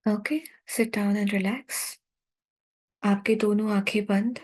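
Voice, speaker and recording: A woman with an Indian accent guides a sleep meditation in a calm, soothing, unhurried manner, leaving long pauses between instructions. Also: mid-range voice.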